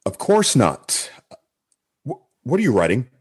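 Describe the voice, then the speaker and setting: An actor playing a polite, diplomatic and slightly hesitant space station captain in a comedy radio play. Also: male voice, mid-range voice, wildly swooping pitch, measured pace, a little mellow, breathy edge, full character voice. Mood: placating.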